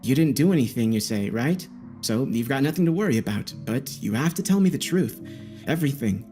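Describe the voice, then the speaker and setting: A man's voice: mid-range voice, animated, fairly quick, darkish tone, lightly acted. Man playing a probing police detective over music. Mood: coaxing. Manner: firm, probing, coaxing. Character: male police detective, probing, coaxing interrogator. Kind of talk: dramatic audio story dialogue. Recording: background music behind the voice.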